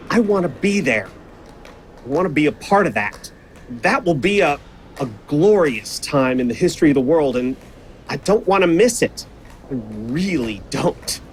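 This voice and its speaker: A man's voice: fairly high pitch, slight background noise. An actor playing an idealistic, opinionated young man in an audio drama, earnest and emphatic.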